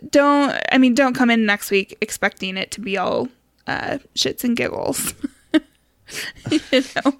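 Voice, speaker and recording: A woman's voice: on the high side, unhurried, no echo, studio quality. A woman co-hosting a podcast in casual, informal conversation with a giggly manner. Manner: informal, giggly, mildly sweary. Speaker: podcast co-host.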